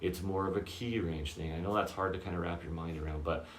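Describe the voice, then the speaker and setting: A man with a very low voice giving a casual guitar lesson, checking in often with "okay?" and "does that make sense?". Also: steady tone, brisk, reverberant, a little hiss or hum. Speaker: guitar teacher.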